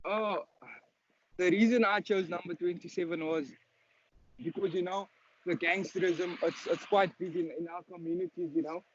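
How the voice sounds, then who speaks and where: A man's voice: high-pitched, fairly even intonation, normal speed, a little hiss or hum. A young man in his early twenties with a Cape Town South African accent, answering interview questions casually and earnestly as a footballer. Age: early 20s. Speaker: professional footballer being interviewed. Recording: dull lo-fi sound.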